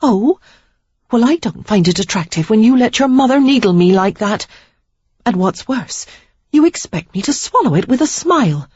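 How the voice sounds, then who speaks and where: A woman's voice: fairly high pitch, sing-song, moderate pace, a little mellow, breathy edge, no echo, muffled recording, voice pushed up. A woman reader voicing an upset young woman who pleads and insists, close to tears. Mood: indignant. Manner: pleading, emphatic, near tears. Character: young woman, frustrated and resolute suitor.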